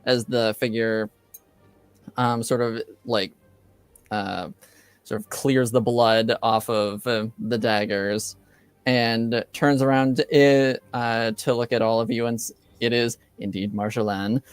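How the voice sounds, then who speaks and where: A man acting as game master, improvising tabletop game narration with a hesitant, halting delivery. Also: medium pitch, steady tone, clean tone, no room sound, soft background music.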